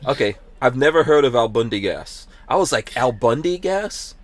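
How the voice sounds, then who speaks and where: A man's voice: medium pitch, animated, normal speed, dry recording. A man from Guyana hosting a casual podcast chat in a jokey, teasing way. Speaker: podcast host.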